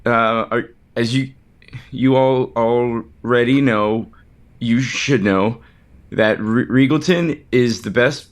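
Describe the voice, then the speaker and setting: An actor playing an anxious, defensive, resentful chief executive in an audio soap opera, scattered and stammering. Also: male voice, mid-range voice, slow pace, natural voice. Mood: flustered, nervous. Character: anxious, defensive male CEO, rambling, resentful younger brother type.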